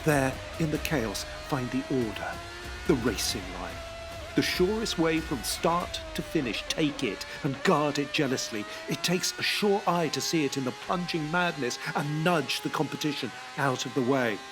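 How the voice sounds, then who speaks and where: A man narrating a dramatic audio drama, hushed and tense, then urgent. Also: a little high, lively intonation, normal speed. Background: music.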